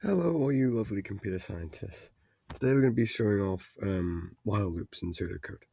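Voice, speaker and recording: Hoarse, congested man with a cold. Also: lowish voice, a little fast, mellow. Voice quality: gravelly, congested. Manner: rambling, restarts with fillers. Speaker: programming tutor. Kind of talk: casual tutorial narration.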